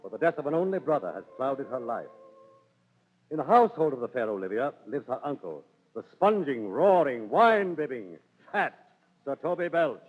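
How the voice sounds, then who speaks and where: A man's voice: mid-range voice, sing-song, moderate pace. A man narrating in theatrical, declamatory 1930s radio storytelling style. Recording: tinny 1930s radio, music behind.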